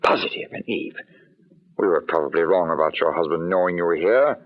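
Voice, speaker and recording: An actor playing an ardent, anxious gentleman in an old-time radio drama, with earnest, formal, theatrical phrasing. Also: low-pitched, fairly quick, lightly acted. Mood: ardent pleading. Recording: dull, lo-fi old radio recording.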